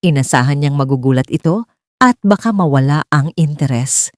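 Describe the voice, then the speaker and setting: A woman's voice: low-pitched, lively intonation, normal speed, no echo, clean studio sound. A woman with a Filipino accent narrating a scripted romance story in a dramatic style.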